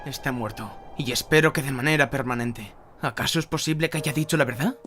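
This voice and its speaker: A man's voice: mid-range voice, brisk, pushed and bright, faint music behind, natural voice. An actor playing an earnest male elf ranger officer in a fantasy radio drama, speaking in a formal, dramatic way. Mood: grim, wary. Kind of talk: fantasy audio drama acting.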